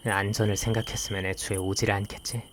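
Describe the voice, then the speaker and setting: Man playing curt young investigator's helper, deadpan, low voice, visual novel drama, clear rain and cricket noise. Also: fast, natural voice. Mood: dry, grumbling. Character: dry, grumbling young man, reluctant occult investigation helper. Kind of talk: visual novel acting with first-person narration.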